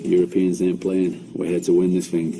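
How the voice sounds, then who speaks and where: A man's voice: sits low, flat delivery, normal speed, music in the background. A man from England speaking as a fighter in a defiant, boastful way.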